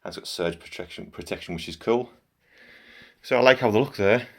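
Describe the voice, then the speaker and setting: A middle-aged man with a croaky voice from a cold, chatting casually in a home vlog as he works and comments on it. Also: on the low side, brisk, a bit bright. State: hoarse from a cold. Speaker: gaming channel host.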